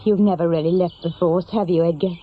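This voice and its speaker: A woman playing a familiar, gently chiding friend with an English accent in a radio drama, chatty and probing. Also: lowish voice, steady tone, fast talker, husky. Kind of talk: naturalistic British radio drama acting. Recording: muffled radio drama recording.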